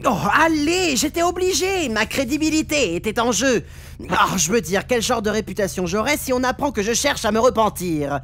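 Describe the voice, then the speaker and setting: A woman's voice: on the high side, expressive, normal speed, fairly bright tone, a little hiss or hum. A woman voicing a flirty, cocky, sleazy male demon in a cartoon fandub. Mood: flippant. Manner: sassy, teasing.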